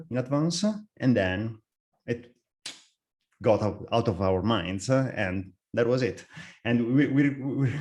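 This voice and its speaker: A middle-aged man with an Italian accent, presenting a live software webinar with frequent fillers and restarts. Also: on the low side. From Italy. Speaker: software architect, webinar speaker.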